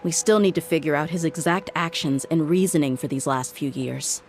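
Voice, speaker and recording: A woman voicing a blunt, tough female mercenary in a video game, speaking firmly and plainly. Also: normal speed. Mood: troubled. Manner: blunt, firm, low-key.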